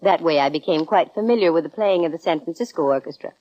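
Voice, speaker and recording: A woman's voice: lowish voice, quick pace, no room sound, close to an everyday voice. A woman playing a wry, self-assured young private detective in 1940s radio drama style, with dry, breezy delivery. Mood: wry, businesslike. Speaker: lead actress in radio detective series. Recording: dull vintage radio recording.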